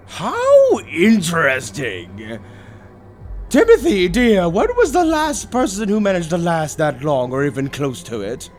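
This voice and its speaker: A man's voice: sits high, wildly swooping pitch, a little slow, slight background noise, exaggerated voice. A man voicing a suave, theatrical demonic bird tempter in a roleplay audio, drawling with mockingly polite, condescending villainy. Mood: intrigued, gloating. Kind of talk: scripted character roleplay audio.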